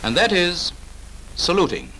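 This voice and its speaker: A man's voice: high voice, huge pitch swings, unhurried, bright, forceful tone, a little hiss or hum, rough recording. A British man narrating a 1940s instructional film in a clipped, authoritative, instructive manner, on an old film soundtrack.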